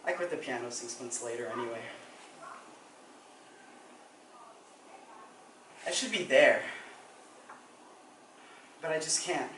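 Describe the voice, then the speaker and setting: A man's voice: medium pitch, moderate pace, close to an everyday voice. Young man as a subdued, regretful teenager, distant mic. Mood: anguished, regretful. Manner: subdued, confessional. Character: regretful, withdrawn teenager haunted by missing a funeral. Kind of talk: dramatic stage monologue. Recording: echoey room, distant mic, noisy.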